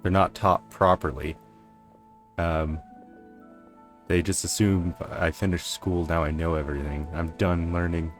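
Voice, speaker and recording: A soft-spoken game streamer giving casual, musing commentary in a quiet voice. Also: sits low, little pitch movement, measured pace, long pauses. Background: faint game music.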